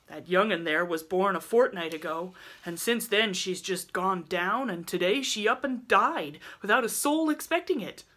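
A woman's voice: mid-range voice, lively intonation, normal speed, fairly bright tone. Woman putting on a coarse, callous old country woman. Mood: gossipy, blunt. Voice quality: grunting. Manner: rustic dialect, blunt and truculent.

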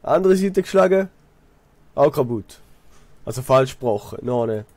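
Young man, Swiss German accent, mellow dark voice, close dry mic, casual gaming chat, rambling storytelling. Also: medium pitch, animated, normal speed, dry recording. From Switzerland. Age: young adult. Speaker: let's player, apprentice builder.

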